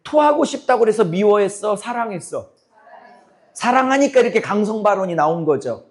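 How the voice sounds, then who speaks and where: Hoarse middle-aged pastor guy, pretty high voice, keeps asking the congregation questions, blunt and punchy. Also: big pitch swings, brisk. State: hoarse, voice cracking. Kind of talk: sermon.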